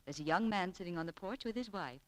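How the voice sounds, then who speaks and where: A woman's voice: fairly low pitch, expressive, quick pace. A woman announcer reading a scripted 1940s radio advertisement in a polished, persuasive way. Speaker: sponsor announcer.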